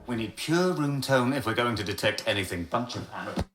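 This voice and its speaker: A man's voice: mid-range voice, lively intonation, a little fast, a bit bright, lightly acted. A man playing a driven, domineering scientist. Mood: scornful. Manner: commanding, impatient, barks orders. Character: driven, domineering male scientist, scornful of his crew. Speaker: lead scientist directing a recording team. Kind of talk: radio drama. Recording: roomy echo.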